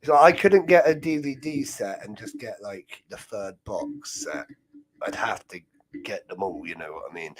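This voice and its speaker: A young British man hosting a livestream, chatting in a loose, rambling way with frequent laughs. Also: mid-range voice. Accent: British English. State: chest infection. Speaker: host. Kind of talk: casual livestream chat.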